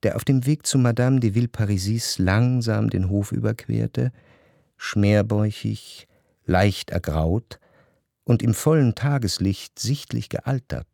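A man playing a refined, observant first-person narrator in a radio play, reciting literary prose with measured, deliberate phrasing. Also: on the low side, vocal fry, dark tone, no echo, clean studio sound. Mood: reflective, observant.

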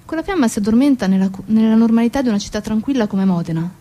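A woman narrating a dramatic theatre monologue, solemn and deliberate, in short measured phrases. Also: on the high side, expressive, mellow. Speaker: stage actress narrating.

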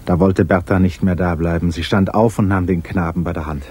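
A man narrating a 1950s German radio drama in a measured, grave literary style over a hissy old recording. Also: low voice, steady tone, a little mellow.

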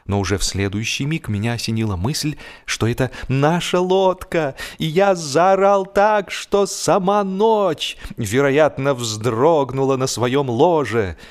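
A man narrating a humorous literary story in a theatrical manner, stretching key words for emphasis. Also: mid-range voice. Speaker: audiobook narrator.

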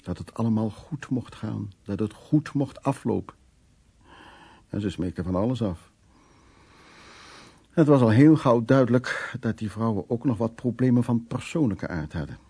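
An actor playing a cynical, worldly man who recounts his past in a wry, coarse radio drama monologue, with a raspy voice. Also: lowish voice, animated, normal speed, long pauses, warm tone, full character voice. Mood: wry, reminiscing.

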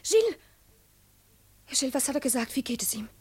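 An actress playing an anxious, embittered woman with a husky voice and hesitant intensity, in a 1950s German radio drama. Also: sits high, very animated, brisk, heavily acted. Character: anxious, embittered woman fearing the town's contempt.

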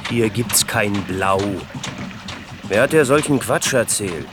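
An actor playing a gruff, distrustful, embittered male recluse and inventor in a German radio play. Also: lowish voice, expressive, slow, noisy background. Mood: hostile, suspicious. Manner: snappish, distrustful.

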